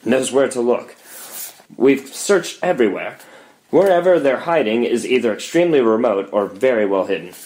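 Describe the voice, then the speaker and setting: Guy doing an earnest superhero leader, mid pitched voice, measured and reassuring, screenplay read. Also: lively intonation, a little mellow, close to an everyday voice, rough recording. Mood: stern, guarded. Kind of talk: dramatic screenplay table read.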